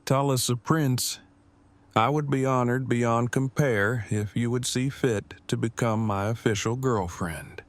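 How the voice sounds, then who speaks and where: A man's voice: mid-range voice, fairly even intonation, measured pace, lightly acted. An actor playing an earnest, long-winded nerdy man in an audio drama, with formal and precise wording. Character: earnest, awkward, verbose nerdy man newly in love.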